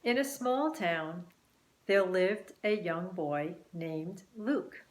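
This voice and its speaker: A woman reading a children's story expressively and deliberately, with dramatic pauses. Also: on the low side. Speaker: author and teacher reading own book. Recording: roomy, echoing room sound.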